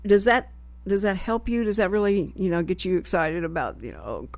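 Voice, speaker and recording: A woman hosts a live radio talk show in a lively, chatty way, often jumping in over her guest. Her voice sits at a middle pitch. The studio sound is dry and close. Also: brisk, dry recording. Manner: chatty, animated, jumps in often. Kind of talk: casual live radio chat.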